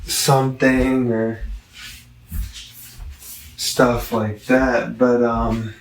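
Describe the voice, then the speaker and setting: A man giving a casual, rambling product review, halting and correcting himself as he thinks aloud. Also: mid-range voice, flat delivery, a little hiss or hum. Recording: roomy, echoing, distant from the mic.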